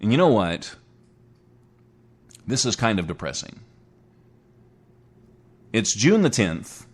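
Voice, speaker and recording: A man's voice: lowish voice, very animated, takes it slowly. A man in his forties hosting a casual solo talk show, chatty and rambling, joking at his own expense. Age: 40s. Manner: chatty, rambling, self-mocking. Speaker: internet radio show host.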